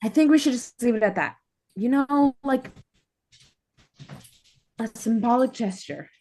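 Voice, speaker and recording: Woman acting high-voiced, spoiled young woman. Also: unhurried, a little mellow. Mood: reluctant. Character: Rachel, spoiled, sheltered, pleading young woman. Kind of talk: sitcom script table read.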